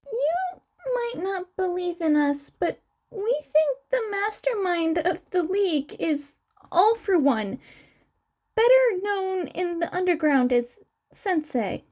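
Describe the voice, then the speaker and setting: Casting: earnest young twin boy played by a woman, very high and animated, unhurried, audio story dialogue. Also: heavily acted. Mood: insistent. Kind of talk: acted dialogue in fan fiction audio.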